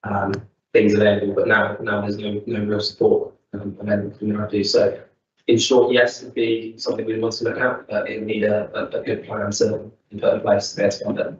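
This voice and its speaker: Casting note: low, steady man at a council meeting, matter-of-fact, heard from off the mic in a dull, echoey room. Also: brisk, recorded from a distance, a little hiss or hum. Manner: plain, matter-of-fact, with many ums. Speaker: sports club representative. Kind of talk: answering questions at a council meeting. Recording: dull, roomy sound from off-mic.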